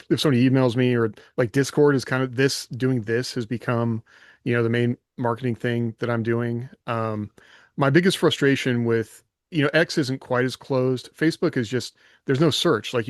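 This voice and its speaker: A man's voice: mid-range voice, dry recording, studio quality. A man talking casually as a co-host on a writers' podcast, sharing his own experience. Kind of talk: casual panel chat.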